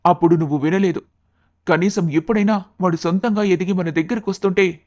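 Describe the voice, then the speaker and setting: A man's voice: high-pitched, a little fast, darkish tone, natural voice. Man as a meek, sorrowful middle-aged father. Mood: pleading, regretful.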